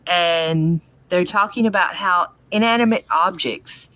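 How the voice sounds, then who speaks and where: A woman's voice: fairly low pitch, a little slow, fairly bright tone, no echo. A woman co-hosting a podcast, chatting casually with dry amusement as she recaps a TV episode. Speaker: podcast co-host.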